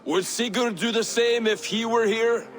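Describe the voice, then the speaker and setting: An actor playing a resentful, defiant Viking warrior in a video game, accusing and scornful. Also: male voice, extremely high pitch, steady tone, unhurried, fairly bright tone, soft background music. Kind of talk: video game cutscene acting.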